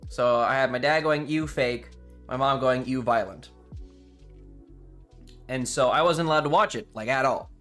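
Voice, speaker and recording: A man's voice: mid-range voice, normal speed, long pauses, fairly bright tone. A man chatting casually on a livestream, rambling enthusiastically with some casual swearing. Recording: music playing behind the voice. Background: music.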